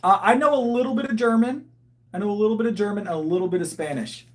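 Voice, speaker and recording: Man, 30s, casual livestream host, slightly higher voice, chatty and meandering. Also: fast talker. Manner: rambling, with frequent restarts and thinking aloud. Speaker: livestreaming powerlifter. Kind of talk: casual livestream chat reading viewer comments.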